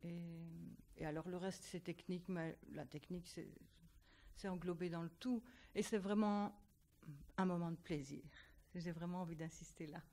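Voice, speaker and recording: A woman's voice: lowish voice, steady tone, normal speed, mellow. A woman, an engraver who shares drawing with children in hospitals, speaking earnestly and with emphasis at a panel. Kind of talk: self-introduction at a panel.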